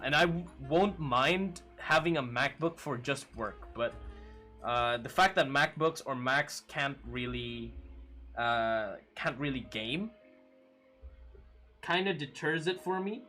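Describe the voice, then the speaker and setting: A man in his early twenties hosting a stream, chatting casually and answering viewer comments with fillers and frequent laughs. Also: mid-range voice. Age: 20s. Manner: relaxed, chatty, laughs often, many fillers. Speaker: livestream host.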